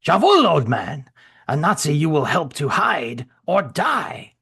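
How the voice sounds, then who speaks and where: A man doing a cruel Nazi soldier in a comic-book reading, all threats and a thick mock German accent. The voice is pushed well above a normal speaking pitch and swings widely. It has a husky edge. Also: sing-song, unhurried, a bit bright, full character voice. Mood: menacing. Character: ruthless German Nazi flamethrower soldier, male, adult.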